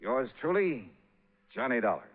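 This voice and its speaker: An actor playing a tough, wry American insurance investigator, clipped and sardonic, in a 1950s radio detective drama. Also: male voice, medium pitch, very animated, normal speed. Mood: wry narration. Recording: tinny old radio broadcast recording.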